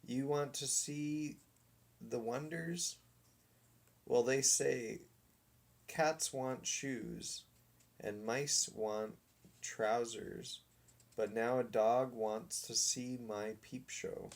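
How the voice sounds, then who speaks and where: A man's voice: mid-range voice, fairly even intonation, very slow, pauses often. Man playing a sneering, shifty showman. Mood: mocking. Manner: scornful, haggling, theatrical. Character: shifty, sneering, sharp-tempered male peep-show showman. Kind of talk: children's storybook read aloud.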